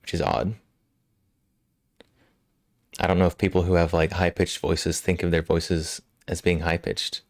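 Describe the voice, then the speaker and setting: A male livestream host chatting casually with viewers, slightly hoarse from a cold, relaxed and quick to laugh. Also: low-pitched, dry recording, studio quality. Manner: relaxed, laughs often, answers chat. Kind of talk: casual chat with live chat. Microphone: studio microphone.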